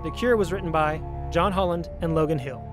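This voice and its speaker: A man's voice: fairly high pitch, fairly quick. A man hosting an audio drama show, reading scripted credits as an announcer. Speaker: host and announcer. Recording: clear music bed behind voice.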